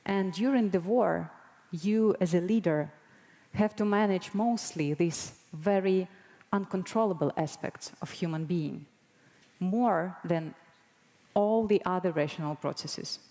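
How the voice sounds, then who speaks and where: A woman in her thirties with a Ukrainian accent, giving an earnest, deliberate prepared presentation as a guest speaker. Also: lowish voice, dark tone. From Mariupol, Ukraine. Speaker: guest speaker, broadcast board member. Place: large conference hall, on stage. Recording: long hall reverb.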